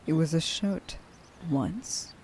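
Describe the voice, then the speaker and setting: A woman playing a stern, vengeful werewolf huntress in an ASMR roleplay, speaking to the listener with deliberate contempt and menace, often slipping into a breathy whisper. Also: deep voice, darkish tone, slight background noise. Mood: probing, contemptuous. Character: stern, vengeful female werewolf huntress, grieving a lost friend.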